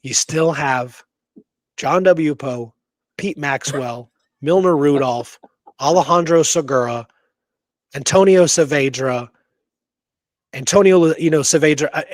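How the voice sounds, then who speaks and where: A man's voice: on the high side, no echo. A man co-hosting a casual history podcast, speaking in an emphatic, informal, argumentative way. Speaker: podcast co-host.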